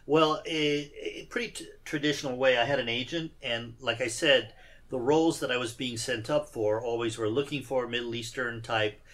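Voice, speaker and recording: A man who grew up in Iran, an actor answering interview questions in a relaxed way with dry humor and frequent fillers. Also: mid-range voice, normal speed. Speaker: actor guest.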